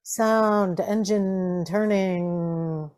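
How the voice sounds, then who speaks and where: A woman's voice: mid-range voice, fairly even intonation, drawn-out words, darkish tone, no room sound, studio quality. A woman reading out terse scripted sound cues for a radio drama.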